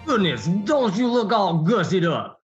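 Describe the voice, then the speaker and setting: A man's voice: high-pitched, sing-song, unhurried, dull audio, over loud music. A man playing a kindly, folksy grandfather in an old-fashioned radio serial. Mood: warm encouraging.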